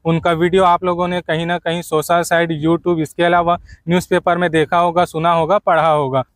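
A man delivers a travel-guide voice-over. His voice sits high for a man. The sound is dry, with almost no room echo, and he addresses the viewers directly as friends. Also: level tone, normal speed. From Chhattisgarh, India. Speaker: travel vlogger, narrator.

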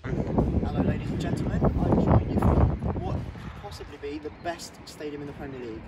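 A man's voice: fairly high pitch, lively intonation, a little fast. A young man from London giving blunt, chatty ratings of football grounds while walking outdoors, filmed on a phone camera. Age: young adult. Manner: chatty, blunt, filler-heavy rating talk. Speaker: vlogger. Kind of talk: casual vlog commentary. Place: outdoors on city streets by stadiums. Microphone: phone camera, selfie and handheld. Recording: loud outdoor street noise.

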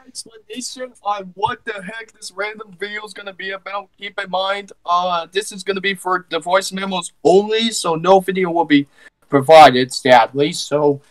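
Flustered, nervous man, slightly high voice. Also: fairly even intonation, normal speed, poor audio. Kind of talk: casual voice-chat roleplay.

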